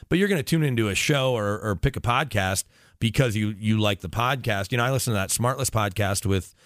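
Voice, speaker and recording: A man's voice: fairly low pitch, a little fast, fairly bright tone, no echo, clean studio sound. An American man, a sports radio broadcaster guesting on a podcast, chatting casually and emphatically with lists and asides.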